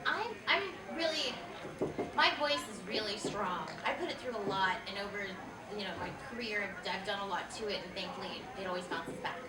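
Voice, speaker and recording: A woman's voice: mid-range voice, brisk. A woman voice actress telling candid stories on a convention panel. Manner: candid storyteller, laughs through her anecdotes. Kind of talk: casual panel talk. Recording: echoey hall, distant mic, loud noise.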